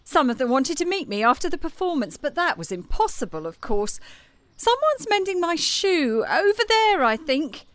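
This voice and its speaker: A woman's voice: high voice, big pitch swings, normal speed, fairly bright tone, dry recording. An actress playing a bold, flirtatious, self-assured French woman cabaret singer in an audio drama. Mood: charming, persuasive. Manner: coquettish, self-assured, theatrical.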